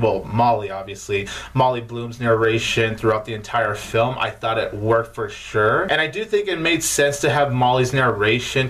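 A man's voice: medium pitch, a bit bright. A man in his twenties reviewing movies casually to camera, leaning on emphatic words, recorded indoors in a small room. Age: 20s.

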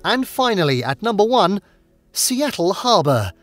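A man with a high, bright-sounding voice reads a scripted travel narration. His pitch swings widely as he delivers an upbeat countdown, with soft music playing faintly underneath. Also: big pitch swings, unhurried.

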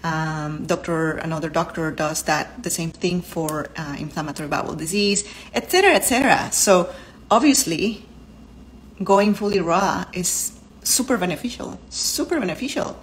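A woman's voice: on the low side, normal speed, slight background noise, low-quality recording. Spanish-accented woman, earnest and explanatory. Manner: earnest, explanatory, lists terms one by one. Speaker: vlogger and doctor. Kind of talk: casual talk to camera. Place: home living room, indoors.

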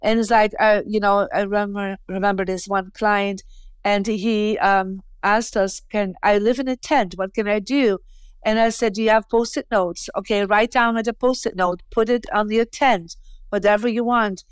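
Smooth-voiced woman on a video call. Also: medium pitch, fairly even intonation, moderate pace, no room sound. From Belgium. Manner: chatty, frequent "you know" and "right?" tags. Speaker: guest, feng shui teacher. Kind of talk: conversational interview answers. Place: bright indoor room at home. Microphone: earphone headset on a video call.

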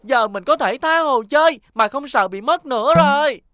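A woman's voice: high-pitched, moderate pace, a little hiss or hum. A woman voicing a playful, careless young boy who chatters eagerly in a dubbed cartoon. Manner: eager, excitable child's chatter. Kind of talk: cartoon dubbing.

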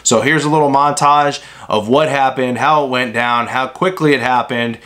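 A man in his thirties, an HVAC technician, casually reviewing a tool at a desk in a small workshop, talking in a relaxed way with fillers. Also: medium pitch, normal speed. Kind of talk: casual product review vlog. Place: small indoor workshop with bookshelves.

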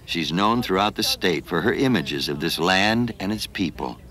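A man reading scripted television documentary narration in a measured, earnest storytelling manner. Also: low voice, animated, pushed and bright. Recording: music bed behind voice. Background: music.

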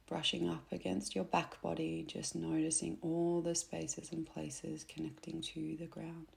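A woman guiding a meditation in a soft, gentle, unhurried way, with phrase endings that turn breathy. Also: low-pitched, completely flat delivery. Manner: gentle, unhurried, instructing softly with pauses. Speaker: meditation guide. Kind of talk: soothing guided meditation narration.

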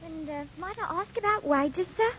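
An actress playing a pert young housemaid, forward yet polite, in 1940s radio drama style. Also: female voice, very high-pitched, moderate pace, fairly bright tone. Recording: dull vintage radio recording.

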